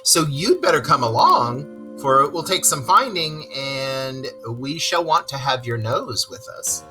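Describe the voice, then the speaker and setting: A man's voice: medium pitch, expressive, a little slow, over music, voice pushed up, lightly acted. A man voicing a kindly, decisive storybook rat, a loyal chum with a hearty, old-fashioned way of talking. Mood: pleasant, brisk. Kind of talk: storybook read-aloud character voice.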